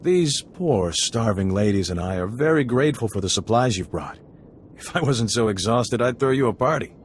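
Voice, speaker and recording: Casting: tired, wry game smuggler, male actor, medium pitch, faint rumbling noise behind. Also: lively intonation, normal speed, natural voice. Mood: weary, wry. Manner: weary, wry.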